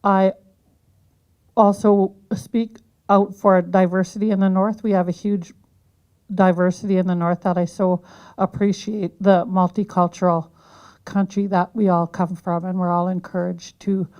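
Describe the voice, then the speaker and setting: A woman candidate giving a hesitant campaign speech, clearing her throat now and then. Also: lowish voice, flat delivery, a little slow, darkish tone, highs cut off. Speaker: political candidate. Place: community hall.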